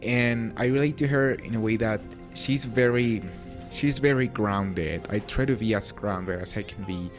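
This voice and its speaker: A young Mexican man with a Spanish-tinged accent that carries a few British vowels, his voice sitting low. He talks in an easygoing, rambling way, full of fillers and self-mocking asides. Faint music plays quietly under him. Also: a little slow. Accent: Mexican with British touches. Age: young adult. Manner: relaxed, chatty, self-deprecating with frequent fillers. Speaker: YouTuber. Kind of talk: casual Q&A to camera.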